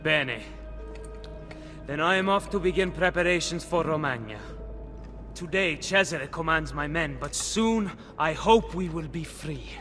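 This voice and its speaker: An actor playing a courteous noble Renaissance commander with an Italian accent in a video game cutscene. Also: male voice, fairly high pitch, animated, unhurried, fairly bright tone, big-room sound, soft background music. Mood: gracious.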